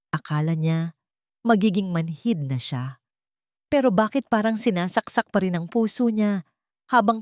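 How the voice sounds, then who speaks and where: A woman reading a scripted dramatic story in a measured, suspenseful way with dramatic pauses. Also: medium pitch, a little mellow, dry recording, clean studio sound.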